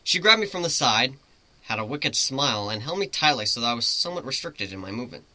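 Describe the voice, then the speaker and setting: A man's voice: medium pitch, big pitch swings, fast talker, bright, forceful tone, dry recording. A man narrating fan fiction in the first person as an amateur dramatic reader. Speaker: story reader.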